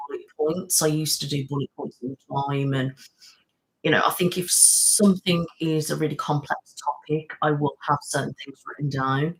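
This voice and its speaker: A woman's voice: low-pitched, low-quality recording. A middle-aged British woman, an ASMR channel host, chatting casually on a live stream and answering viewers, rambling with many fillers. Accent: British English.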